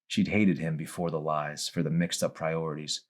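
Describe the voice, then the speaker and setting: A man's voice: very deep voice, steady tone, moderate pace. A man narrating his own novel as an audiobook reading.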